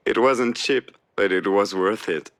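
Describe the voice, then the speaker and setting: Low-voiced, dry, devoted partner. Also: male voice, a little slow, fairly bright tone. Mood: dry, teasing. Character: Alex, wry, devoted, heartbroken male partner. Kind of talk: naturalistic video game voice acting.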